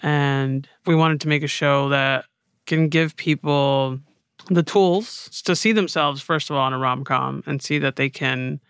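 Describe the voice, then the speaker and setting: A man's voice: fairly high pitch, steady tone, a little slow, fairly bright tone, clean tone, dry recording, studio quality. An American man co-hosting a podcast in a conversational style. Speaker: podcast co-host.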